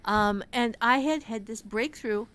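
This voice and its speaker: A woman talking casually on a podcast as an author and writing teacher, telling her story in a halting, reflective way. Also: fairly high pitch, a bit bright, smooth.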